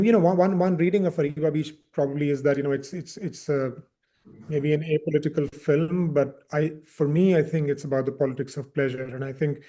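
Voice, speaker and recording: A man's voice: fairly high pitch, warm tone. A man from India speaking as an interviewed film director, reflective, often repeating words as he searches for phrasing.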